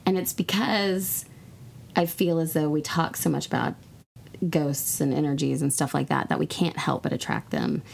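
A hoarse woman co-hosting a podcast, chatting casually and swearing now and then. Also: low voice, normal speed, a little mellow, a little hiss or hum. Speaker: podcast co-host. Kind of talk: casual chat. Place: recording in Texas.

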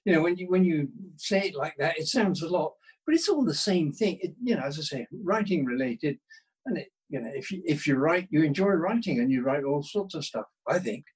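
Older male interview guest, higher-pitched with a husky grain, modest, hesitant and conversational. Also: expressive, brisk, darkish tone, rough recording. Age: 60s or older. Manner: self-deprecating, hesitant, thoughtful, rephrasing as he goes.

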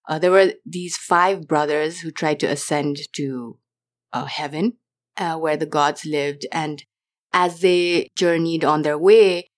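A young woman from Nepal talks easily as an interview guest, her low voice running on with "like" and small restarts. She speaks casually and openly. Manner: chatty, many fillers and restarts.

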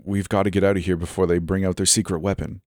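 A man's voice: deep voice, little pitch movement, fast, a little mellow, no echo, studio quality, lightly acted. A man voicing a curt, serious young male leader who speaks tersely and urgently.

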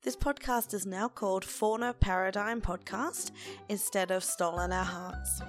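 A woman hosting a casual solo podcast in an informal, conversational way, with a croaky, hoarse voice. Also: medium pitch, steady tone, measured pace, soft background music. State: lingering hoarseness after laryngitis. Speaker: podcast host.

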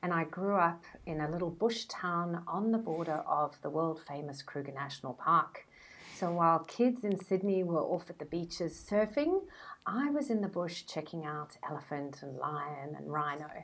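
A woman's voice: low voice, animated, normal speed, a bit bright. A woman in her forties with a South African accent, telling stories as a podcast guest. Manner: chatty, storytelling. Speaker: guest, brand strategist. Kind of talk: podcast interview.

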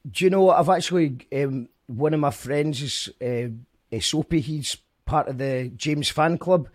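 A man's voice: fairly high pitch, moderate pace, no echo, clean studio sound. A man interviewing a singer, friendly and laughing easily. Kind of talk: casual interview chat.

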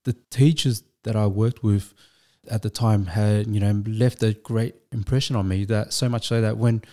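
A man speaks as an interview guest. His voice sits a little low, with a soft, mellow tone. He talks in a measured, reflective way, pausing and restarting as he thinks. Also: steady tone. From Australia. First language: Vietnamese. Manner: measured, thoughtful, with frequent restarts and fillers. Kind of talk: reflective storytelling.

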